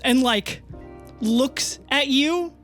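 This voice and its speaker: Game master, improvised tabletop narration, informal, dramatic pauses, clear music bed. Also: sits high, a bit bright.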